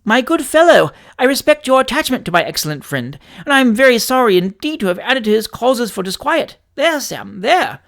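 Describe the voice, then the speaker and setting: Fussy young gentleman, indignant, flustered, as a reader puts him on, storybook, higher pitched. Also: very animated, a little fast, creaky, hardly any pauses.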